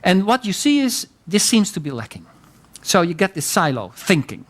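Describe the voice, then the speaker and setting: A man's voice: high-pitched, big pitch swings, normal speed. A man leading a seminar, teaching conversationally and often affirming participants. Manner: conversational, frequent affirming interjections. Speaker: seminar lecturer.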